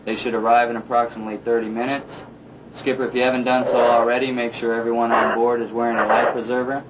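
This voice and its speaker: Flat, formal male Coast Guard radio operator, acted. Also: mid-range voice, natural voice. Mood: steady, instructive. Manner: measured, formal, unemotional. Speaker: radio watchstander. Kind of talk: dramatized marine radio exchange. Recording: distant, noisy radio with clicks.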